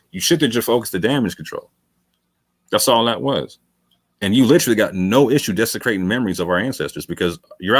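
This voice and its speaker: A man hosting a late-night livestream, arguing his points with heavy repetition and calling the audience fam. His voice sits fairly low and sounds tired after hours on the air. The sound is close and dry, with no room echo. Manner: emphatic, repeats phrases, calls listeners "fam". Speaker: host of a debate talk show.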